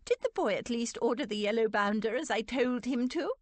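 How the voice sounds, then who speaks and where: A woman's voice: high-pitched, sing-song, moderate pace, no room sound, voice pushed up. A woman voicing an agitated, impatient old aristocratic English lady who wails and insists in distress, in a storybook reading.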